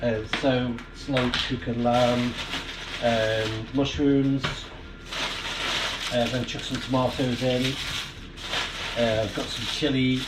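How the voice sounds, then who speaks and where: A man giving a casual, rambling vlog explanation, hesitant and thinking aloud as he goes. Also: medium pitch. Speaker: amateur radio vlogger. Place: inside a barn. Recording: clear background noise in barn.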